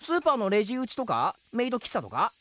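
Carefree, boyish young samurai, lively pitch. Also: female voice, fast. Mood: carefree, casual. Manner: casual, slangy, boyish speech. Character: carefree, irresponsible young male samurai who loves fun. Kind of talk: Japanese comedic audio drama acting.

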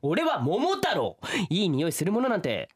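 Man playing an exasperated, very animated young hero. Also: high-pitched, moderate pace, slightly rough, exaggerated voice. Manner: blunt retorts, slangy straight-man. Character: exasperated, casual young folktale boy hero. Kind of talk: comedic radio drama acting.

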